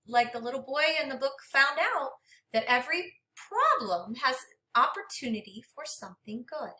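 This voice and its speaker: A woman reading a picture book aloud to young children like a teacher, expressively and dramatically, pausing to speak to her listeners. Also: high-pitched, expressive, pushed and bright. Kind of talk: interactive children's read-aloud with questions to listeners. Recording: echoey room, distant microphone.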